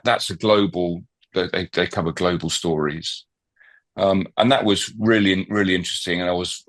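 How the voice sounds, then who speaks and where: A middle-aged man being interviewed on a podcast, talking casually with frequent ums, restarts and repeated words. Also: sits low. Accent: non-local, not from Swansea. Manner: hesitant, many fillers and restarts. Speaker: podcast guest, newspaper founder.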